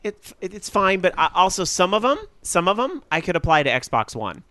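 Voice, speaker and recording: Casting note: male podcast host, slightly high, smooth clear voice, relaxed and candid. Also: moderate pace, dry recording. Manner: candid, laid-back, hedging. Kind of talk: casual chat.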